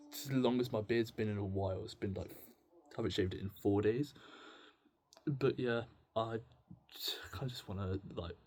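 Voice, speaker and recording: A young British man talking casually to camera in a confessional vlog, rambling, halting and self-deprecating. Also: fairly low pitch, a little mellow. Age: young adult. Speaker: video blogger. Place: indoors at home with music on in the background.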